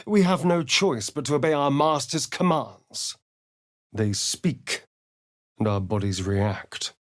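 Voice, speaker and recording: An actor voicing a sly, vain vampire rogue for a video game, with an English accent and an arch, theatrical, drawling delivery. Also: male voice, mid-range voice, expressive, takes it slowly. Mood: measured, wary.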